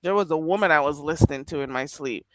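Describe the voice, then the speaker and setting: A woman's voice: deep voice, fast talker, dry recording. A woman live streaming late at night, chatting casually with her viewers and reading their comments aloud. She is a little stuffy and nasal, laughs often and keeps calling people "child".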